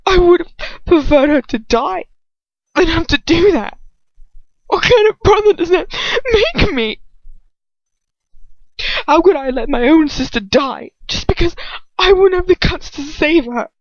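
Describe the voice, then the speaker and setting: A woman voicing a distraught, guilt-ridden young man in an audiobook, with a cracking, wavering, tearful and halting delivery. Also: extremely high pitch, expressive, no room sound, pitched above the performer's own voice, heavily acted. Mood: tearful despair. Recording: dull lo-fi home recording.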